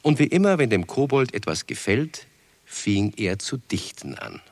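Deep, expressive male storyteller. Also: moderate pace. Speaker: narrator. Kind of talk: scripted children's story narration.

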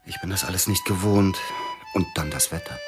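An actor playing a grieving pianist and widower in a radio drama, speaking slowly and probingly, often sinking to a near whisper. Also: male voice, sits low, music in the background, close to an everyday voice.

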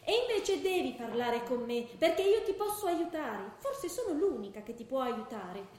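An insistent young girlfriend in a radio drama, worried and pleading. Her voice is high and very animated, sounding in a strongly echoing room. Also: moderate pace. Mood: pleading, exasperated. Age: young adult. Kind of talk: stage dialogue in radio theatre. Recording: very echoey theatre room.

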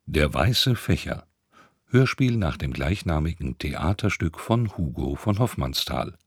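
Announcer, male: low register, slow formal delivery of scripted credits, dry close sound. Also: big pitch swings, breathy edge, dry recording. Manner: formal, deliberate. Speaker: radio announcer.

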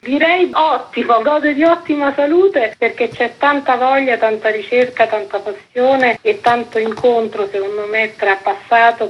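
A woman's voice: mid-range voice, fairly bright tone, slight background noise, rough recording. A woman explaining things as an interviewed festival organiser on the radio.

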